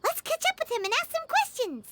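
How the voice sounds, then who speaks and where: A woman voicing a small, excitable, chatty childlike fairy companion in a video game, squeaky and emphatic. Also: brisk, very noisy, exaggerated voice. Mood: excited, urgent. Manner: squeaky, exclaiming, emphatic, refers to self in third person. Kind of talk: video game character acting. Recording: background music under the voice.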